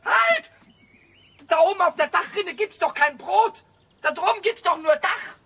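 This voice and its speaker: A man playing a cheeky, childish little kobold in a 1970s children's radio play, squeaky and piercing, stammering over repeated words, excitable and stubborn. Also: unhurried, full character voice. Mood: excited, indignant. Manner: stammering word repetitions, singsong rhyming.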